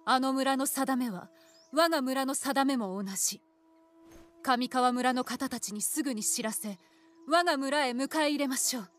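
A woman's voice: sits high, moderate pace, a bit bright. An actress playing a composed, dignified village lady in a samurai-era game, speaking formal, courtly, archaic language. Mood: grave, resolute. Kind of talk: formal period-drama game voice acting.

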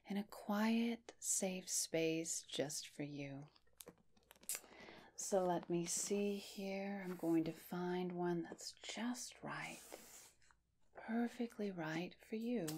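A woman in her thirties speaking as a hypnotherapist in a soothing ASMR guided hypnosis, soft and breathy, often slipping into a whisper, close to the mic. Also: lowish voice, slight background noise. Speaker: hypnotherapist, host. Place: small dim indoor room, close up. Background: soft music.

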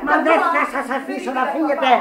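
An actress playing a desperate, passionate Sicilian widow in Greek radio theatre, pleading and defiant. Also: female voice, fairly even intonation, close to an everyday voice. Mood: defiant anguish. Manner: pleading, defiant, highly dramatic. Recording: echoey old radio play, crowd noise.

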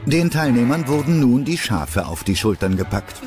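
A man's voice: medium pitch, very animated, normal speed, music in the background, close to an everyday voice. A man narrating a children's adventure radio play as a storyteller, in a scripted style.

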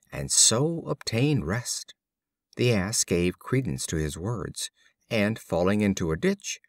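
A man narrates a storybook in a low voice with lively, swooping intonation. His phrasing is deliberate and measured, like an audiobook reader telling fables. The sound is dry and close. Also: dry recording.

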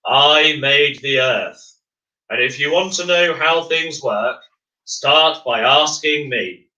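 A man's voice: on the high side, reverberant, recorded from a distance, lo-fi sound, pitched above the performer's own voice, exaggerated voice. Man playing a big, firm godlike creator. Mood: firm, commanding. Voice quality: loud, big voice. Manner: firm, slow, authoritative.

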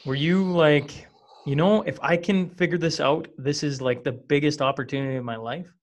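A man's voice: fairly high pitch, fairly even intonation, normal speed. A man in his thirties from small-town Western Canada, hosting a podcast at a studio microphone, eager and casual as he asks long winding questions. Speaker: podcast host. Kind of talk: casual interview. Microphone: studio microphone with earbuds.

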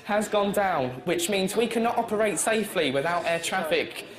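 A man's voice: high-pitched, animated, normal speed. A man working for an airline, addressing passengers in a firm, formal, defensive way. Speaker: airline staff member. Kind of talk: announcements and arguing with passengers. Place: busy airport terminal. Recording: echoey airport hall, crowd chatter.

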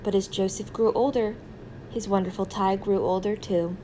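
Female storytime reader, mellow mid-pitched voice, narrating a picture book, slight background noise. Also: steady tone, moderate pace.

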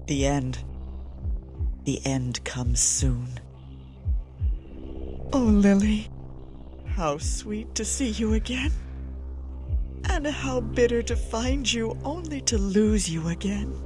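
A woman playing an anguished, refined vampire painter in a video game, melodramatic and breathy. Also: mid-range voice, animated, takes it slowly, long pauses, warm tone, faint music behind. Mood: despairing. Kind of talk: video game drama acting.

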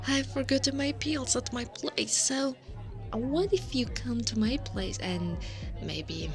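Woman acting slow-talking, teasing older slime woman. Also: fairly high pitch, lively intonation. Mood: reassuring, coaxing. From Italy. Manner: teasing, breathy half-whispers, frequent soft laughs. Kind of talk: ASMR roleplay. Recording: music behind the voice.

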